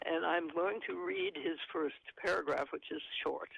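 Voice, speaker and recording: Brief: earnest man, gravelly voice, halting delivery full of fillers, slightly high pitch, muffled phone-like audio. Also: fairly bright tone, dry recording. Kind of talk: conversational commentary, reading aloud from an article.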